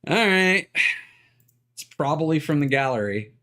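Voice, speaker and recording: Man live streaming, slow with swooping pitch. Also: expressive, bright, forceful tone. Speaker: video game live streamer. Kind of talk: casual live stream chat, thinking aloud while troubleshooting.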